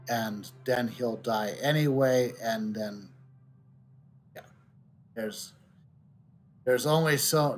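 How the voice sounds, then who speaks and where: A male streamer comments casually on the game he is playing. His delivery is very slow, pensive and halting as he reasons aloud. Faint game music sits behind him. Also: mid-range voice. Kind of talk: casual live playthrough commentary.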